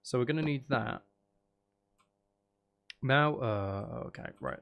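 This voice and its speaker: Creaky-voiced man rambling on a gaming livestream. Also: mid-range voice, slow pace, lo-fi sound, a little hiss or hum. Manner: rambling, thinking aloud, talking to viewers. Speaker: livestream host. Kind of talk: casual gaming livestream chat while building.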